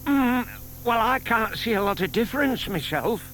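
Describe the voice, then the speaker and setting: High-voiced, kindly older British gentleman. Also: animated, moderate pace, full character voice, rough recording. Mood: scheming. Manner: muttering to himself, polite. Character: shy, kindly older gentleman, quietly scheming neighbour. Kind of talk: audio theatre acting. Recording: faint noise, 1990s tape dramatisation.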